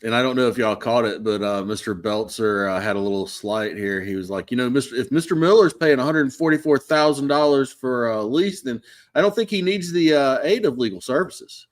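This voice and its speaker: A male stream co-host who talks things through in a thoughtful, explanatory way. His voice sits at an ordinary mid pitch for a man, and his delivery stays relaxed. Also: a little fast. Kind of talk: casual chat.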